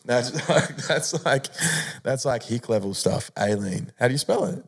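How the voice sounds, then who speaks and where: A man's voice: medium pitch, lively intonation, creaky, breathy edge. A man co-hosting a podcast, joking and riffing in casual banter with frequent laughs. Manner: joking, laughs a lot, riffs quickly. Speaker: podcast co-host.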